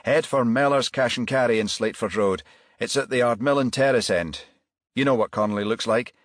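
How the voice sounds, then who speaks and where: A man voicing a stern, methodical police detective in an audiobook, formal and measured as he presses his questions. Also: medium pitch, dry recording, clean studio sound, voice pushed up, lightly acted. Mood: brisk, businesslike.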